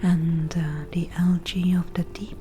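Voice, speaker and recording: A woman playing a confident, slightly prickly forest witch brewing potions in a soft, breathy ASMR whisper. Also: fairly low pitch, flat delivery, faint music behind, natural voice. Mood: absorbed. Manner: slow, unhurried, murmuring.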